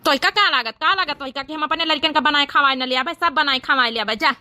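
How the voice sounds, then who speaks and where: Woman acting cartoon village husband, Awadhi accent, bright forceful tone, dry recording, exasperated, argumentative, grumbling. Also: high-pitched, a little fast, dry recording. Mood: indignant. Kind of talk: exaggerated cartoon comedy dialogue.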